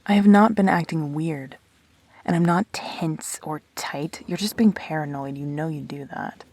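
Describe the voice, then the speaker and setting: Girl acting broody guarded immortal in a sci-fi audio rp, low breathy voice, terse and defensive. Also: a little slow, a little mellow, dry recording. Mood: defensive, irritated.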